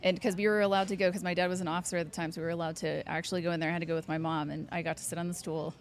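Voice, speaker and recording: A woman's voice: on the low side, level tone, racing, slight background noise. Easygoing woman telling her story. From Southern California. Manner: easygoing, good-humored storyteller. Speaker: guest. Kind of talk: casual interview chat. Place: restaurant.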